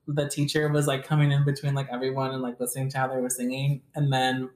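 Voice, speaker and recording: A woman's voice: a bass voice, completely flat delivery, fast talker, darkish tone. A young woman chatting casually with friends, rambling with lots of fillers. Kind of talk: casual chat. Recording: echoey room.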